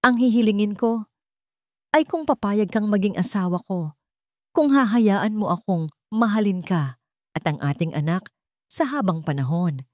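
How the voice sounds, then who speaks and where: A woman with a Filipino accent voicing a tender, devoted father in love, softly and sincerely, in a narrated story. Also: medium pitch, expressive, normal speed, long pauses, no echo, studio quality, close to an everyday voice. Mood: earnest proposal. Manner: soft, sincere, pleading.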